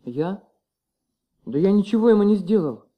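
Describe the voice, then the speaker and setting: An actor in a Soviet radio play playing a wary, stubborn young schoolboy who answers in a naive, defensive way, on an old recording. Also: male voice, high voice, lively intonation, moderate pace, highs cut off. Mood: defensive, sulky. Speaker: radio drama performer playing a child.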